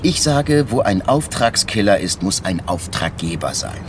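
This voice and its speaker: Radio crime drama: shrewd, unorthodox male inspector, lowish voice, insinuating, politely needling, over clear street noise. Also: big pitch swings, a little slow. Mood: insistent, confident. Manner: insinuating, mock-deferential, argues step by step. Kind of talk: naturalistic German radio crime drama acting.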